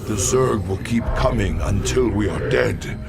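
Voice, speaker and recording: A grave old alien warrior mystic from a video game, speaks in a deep, gravel-edged voice. The delivery is slow, solemn and theatrical, heavy with weariness and portent. Loud music plays behind the voice. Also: male voice. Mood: watchful, resolute. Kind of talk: dramatic scripted game narration and dialogue.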